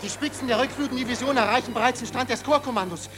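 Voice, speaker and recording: Rapid, high-voiced military messenger. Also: male voice, noisy background. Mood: urgent, alarmed. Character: urgent military messenger reporting a rout. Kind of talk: radio drama acting.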